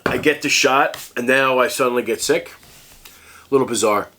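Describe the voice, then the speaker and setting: Man, stuffy congested voice, casual rambling vlog talk, average pitch, lots of restarts. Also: moderate pace, pushed and bright. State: congested, sick. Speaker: tech review vlogger.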